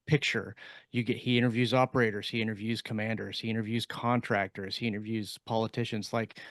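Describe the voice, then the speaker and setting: A man co-hosting a casual writers' podcast, speaking haltingly and often repeating the starts of his words. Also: lowish voice, dry recording. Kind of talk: casual panel discussion.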